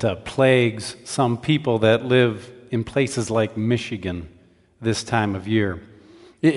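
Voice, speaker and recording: A man giving a conversational sermon as a preacher, in a measured, explanatory way. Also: medium pitch. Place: large church hall. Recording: reverberant hall sound.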